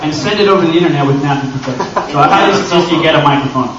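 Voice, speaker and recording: A man giving an informal, joking panel talk to a live audience, animated and given to acting out little imagined exchanges. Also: fairly high pitch, rapid-fire, a loud crowd. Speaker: convention panel presenter and amateur voice actor. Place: indoor convention panel room. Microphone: camera microphone at a distance. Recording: echoey room, distant mic, dull noisy audio.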